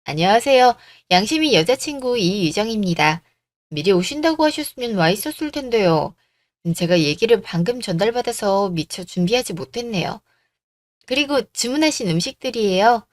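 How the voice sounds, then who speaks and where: A woman's voice: medium pitch, animated, normal speed, dry recording, clean studio sound, lightly acted. A woman voicing a courteous, dutiful young bride-to-be in a radio story drama. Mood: polite. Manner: courteous, composed.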